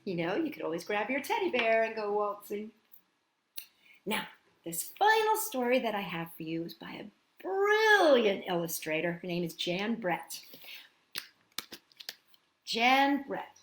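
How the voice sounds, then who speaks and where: Slow-paced woman hosting children's storytime. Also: mid-range voice, reverberant, a little hiss or hum. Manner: animated, expressive, addresses children directly. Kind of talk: playful storytelling and chat for young children.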